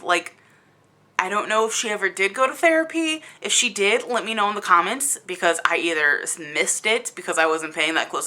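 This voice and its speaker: A woman with a bright, crisp-edged voice at an average pitch talks casually to her channel's viewers as a reviewer. She is opinionated and blunt, sounding exasperated as she stretches and stresses words to make her point. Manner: exasperated, blunt, conversational with emphatic drawn-out words. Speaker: book reviewer hosting a video channel.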